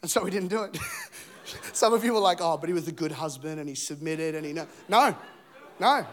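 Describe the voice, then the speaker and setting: Joking high-voiced Australian pastor. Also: male voice, lively intonation, brisk, fairly bright tone. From England. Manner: jokey, self-deprecating, acts out voices. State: voice a bit rough. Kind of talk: humorous sermon. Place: church auditorium.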